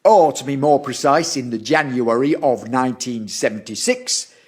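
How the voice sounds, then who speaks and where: An actor playing an officious, condescending council official with a formal manner in an audio drama. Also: male voice, mid-range voice, normal speed, darkish tone, lightly acted. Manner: formal, pompous, stammers when challenged. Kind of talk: stage-like audio drama acting.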